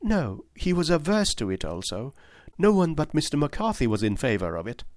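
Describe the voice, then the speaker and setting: A man's voice: a little high, big pitch swings, normal speed, darkish tone, no echo, clean studio sound, voice pushed up. A man reading aloud, voicing an earnest, distressed young woman who pleads insistently in a raised voice. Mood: earnest pleading.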